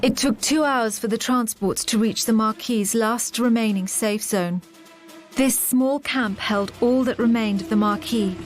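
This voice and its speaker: A woman's voice: on the high side, measured pace, lightly acted. Actress as grave female war narrator over music. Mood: grave, measured. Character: grave wartime narrator recounting a resistance campaign. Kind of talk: scripted narration.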